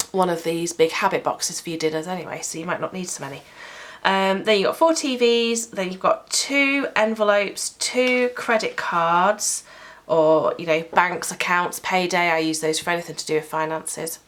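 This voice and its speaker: Sniffly British woman, lowish voice. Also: fairly bright tone. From United Kingdom. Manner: chatty, explaining item by item. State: sniffly with a cold. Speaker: sticker shop owner. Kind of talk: product walkthrough vlog.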